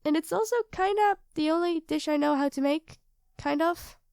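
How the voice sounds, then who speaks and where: A woman's voice: sits high, normal speed, gravelly, dry recording, clean studio sound. A woman playing a sweet, self-doubting young girlfriend in an intimate ASMR roleplay, speaking hesitantly and earnestly to her partner. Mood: gentle, thoughtful. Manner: hesitant, soft-spoken, earnest, addressing a partner directly.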